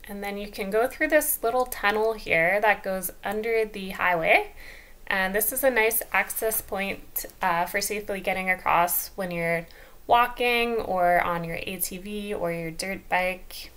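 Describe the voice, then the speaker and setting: Woman from Canada, vlog host; clean, smooth tone, relaxed voice-over with filler ums. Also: medium pitch, unhurried.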